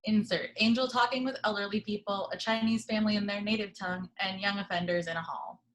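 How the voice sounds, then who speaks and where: A woman reading a screenplay's action descriptions in a plain, steady way. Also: mid-range voice, quick pace, low-quality recording. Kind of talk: reading a screenplay's stage directions.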